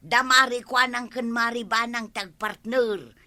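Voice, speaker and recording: Piercingly bright, pushy village woman. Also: a little high, steady tone, a little slow. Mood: coaxing. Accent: Ilocano. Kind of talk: comedy radio drama acting.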